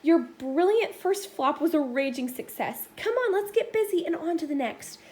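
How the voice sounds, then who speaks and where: Woman playing a high-voiced spirited old great-aunt. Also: lively intonation, normal speed, darkish tone. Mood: jubilant, encouraging. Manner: emphatic, exclamatory. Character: spirited, encouraging old great-great-aunt, a former airplane builder. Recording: faint background noise.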